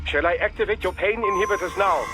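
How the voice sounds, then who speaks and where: A man voicing a fussy, uneasy cyborg surgeon in a video game, speaking in a halting, formal, deferential way. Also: high voice, over music, natural voice. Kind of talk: dramatic video game voice acting.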